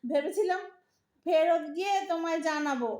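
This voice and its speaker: A woman's voice: very high voice, normal speed, a little mellow, echoey room. A woman playing an impatient but loving young married Bengali daughter in an audio drama, pleading and emotional, with a Bengali accent. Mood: exasperated, shy.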